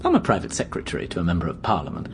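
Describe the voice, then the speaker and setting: An earnest young English gentleman in a radio drama. His voice swings very widely in pitch, polite and eager, with a romantic streak. There is clear background noise around him. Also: wildly swooping pitch, very fast. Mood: polite, chatty. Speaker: narrator and actor. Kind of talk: radio drama narration and dialogue.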